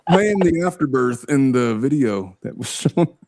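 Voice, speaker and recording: A man's voice: mid-range voice, animated, a little slow, darkish tone. A man who works as a lawyer, chatting casually and joking on a livestream. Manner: joking, laughs often. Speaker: guest lawyer.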